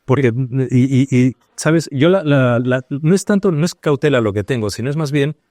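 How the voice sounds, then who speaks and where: Man from Peru, studio panel guest, mellow dark tone, dry close sound, thinks aloud and hesitates a lot. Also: medium pitch, no room sound. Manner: searching, hesitant, full of restarts and pauses. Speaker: panel guest, paranormal researcher. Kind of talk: reflective round-table discussion.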